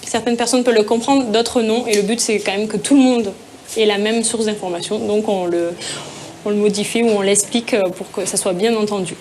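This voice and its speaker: A woman's voice: mid-range voice, steady tone, brisk, a little mellow, a little hiss or hum. A woman explaining her work as a trainer in an interview.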